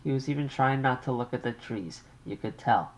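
A man reading aloud, voicing a calm young wife who answers her mother's questions with weary patience. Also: mid-range voice, normal speed, pitched above the performer's own voice, close to an everyday voice. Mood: patient, weary. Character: cool, unflappable young wife on the phone.

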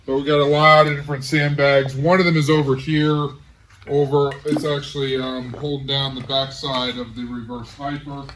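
A middle-aged man casually showing off his garage gym, talking in a relaxed, rambling way with many pauses. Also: mid-range voice, far from the mic, a little hiss or hum, rough recording. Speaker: home gym owner giving a tour. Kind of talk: casual walk-through tour. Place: large garage home gym.

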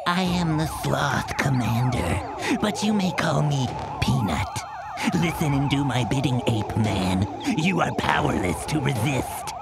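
A man's voice: medium pitch, lively intonation, measured pace, vocal fry, over loud music. A man voicing a bossy, scheming evil sloth commander in a cartoon, with pompous, commanding delivery. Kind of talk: animated cartoon acting.

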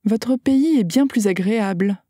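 A woman's voice: mid-range voice, measured pace, mellow, no room sound, clean studio sound. A woman carefully reading short phrases aloud for a language lesson, enunciating each one. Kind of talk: slow scripted phrase reading.

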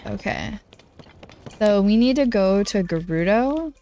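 A woman's voice: mid-range voice, animated, slow pace, gravelly, mellow. A young woman with a nasal, congested voice, chatting in a bubbly, giggly way with viewers while streaming a game. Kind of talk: casual live-stream chat while gaming.

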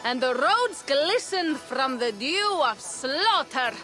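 An actress playing a boisterous, playful and proud Viking warrior in a video game, with a hearty, teasing delivery. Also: female voice, high voice, sing-song, slow, bright, forceful tone, faint music behind, exaggerated voice. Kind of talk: video game cutscene acting.

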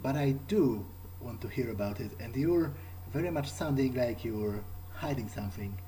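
A man's voice: medium pitch, expressive, normal speed, mellow, slight background noise. A man improvising in character during a video game, conversational and questioning, with hesitant phrasing. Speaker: let's player roleplaying in character.

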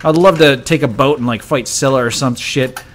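A man's voice: mid-range voice, normal speed, background noise. A man streaming a video game on a headset mic, joking and swearing in casual chat. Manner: animated, joking, swears freely.

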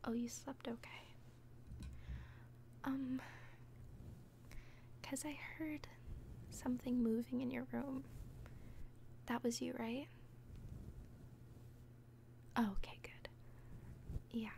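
A woman playing an obsessive, falsely gentle young caretaker in an ASMR roleplay, speaking softly and breathily with tender, halting hesitation. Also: high-pitched, steady tone, a little hiss or hum, heavily acted. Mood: soothing, hesitant. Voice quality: soft, breathy whisper. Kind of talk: whispered ASMR roleplay, personal attention.

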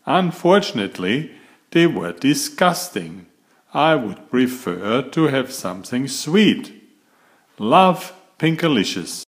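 A man reads a children's picture book aloud at an unhurried pace. His voice sits in a middle pitch but swings widely, and he lifts it for the girl's lines. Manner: slow, lively storybook reading, raising his pitch for the girl's lines.

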